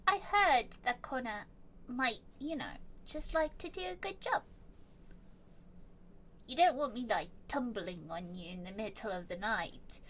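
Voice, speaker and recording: In a tabletop fantasy game, a woman plays a careful young adventurer who keeps his voice low and picks his words diplomatically. He sounds high and lively, yet unhurried. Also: a little hiss or hum. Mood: diplomatic. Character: young man, polite and cautious adventurer.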